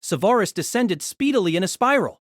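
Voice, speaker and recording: A man's voice: high-pitched, expressive, a little fast, no echo, clean studio sound. A man giving a dramatic scripted narration of an action fantasy story.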